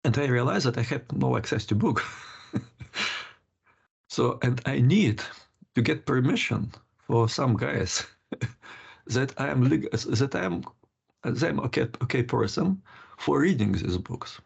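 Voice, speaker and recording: Older man, interview guest, very gravelly husky voice, halting delivery, muffled call audio. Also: mid-range voice, expressive. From Lithuania. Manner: halting, many restarts and laughs. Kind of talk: casual interview.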